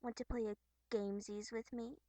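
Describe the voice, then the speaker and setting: A woman's voice: on the high side, level tone, brisk, warm tone, no echo, natural voice. A woman voicing a brash, cocky teenage troll girl who talks in a mocking, sarcastic way.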